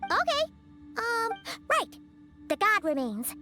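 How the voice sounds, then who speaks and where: A woman voicing a tiny, excitable fairy companion in a video game, squeaky and childlike, with a chirpy, blunt manner. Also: takes it slowly, full character voice. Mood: puzzled, eager. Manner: chirpy, speaks of herself in third person, blunt. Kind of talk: animated video game voice acting. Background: background music.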